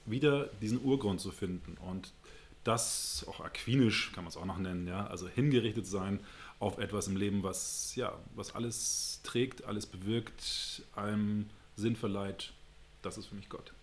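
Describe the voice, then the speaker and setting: A man's voice: fairly low pitch, normal speed, slight background noise. A man with a northern German accent, answering interview questions in an earnest, reflective way and searching for words as he goes. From Hamburg, northern Germany. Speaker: interview guest.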